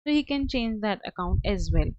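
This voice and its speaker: A woman with a mid-pitched voice guides a listener through software step by step. Her delivery is halting and a little hesitant, like unscripted training. The sound is dry, with very little room in it. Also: animated, no room sound, dull audio. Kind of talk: step-by-step software tutorial narration.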